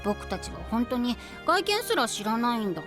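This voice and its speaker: A man's voice: extremely high pitch, sing-song, moderate pace. A man voicing a boyish, candid, self-deprecating young knight in an anime-style voice drama, with casual, changeable delivery. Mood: musing, self-deprecating. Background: prominent background music.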